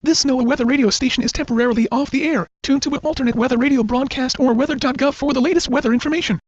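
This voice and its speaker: Very high, quick synthetic female announcer. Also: no room sound, dull audio. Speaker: weather radio announcer. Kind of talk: scripted emergency alert announcement.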